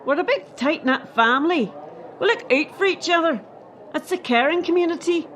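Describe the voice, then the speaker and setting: Woman acting hard-nosed female gymnastics boss, dismissive, high voice, radio play, faint noise behind. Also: lively intonation, moderate pace, fairly bright tone. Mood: dismissive, cold. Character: dismissive, hardened female gymnastics official defending her sport.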